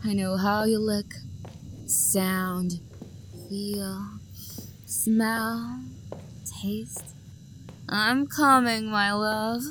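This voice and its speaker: A woman's voice: mid-range voice, fairly even intonation, extremely slow, long pauses, a bit bright, a little hiss or hum. A young woman acting an obsessive, possessive lover who hunts for the one she adores, her voice sweet and menacing and often sinking to a whisper. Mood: teasing, hunting. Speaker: voice actor. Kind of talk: audio roleplay acting.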